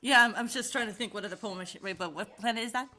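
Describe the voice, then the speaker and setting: A woman's voice: on the high side, very fast, pushed and bright. A woman chatting casually with friends over a voice call, rambling, laughing often and swearing freely. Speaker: channel host.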